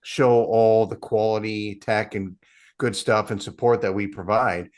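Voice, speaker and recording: A man's voice: on the low side, fairly even intonation, a little slow. A middle-aged man, a partnerships head, explaining steadily and with self-deprecating asides as a guest. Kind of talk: casual interview chat.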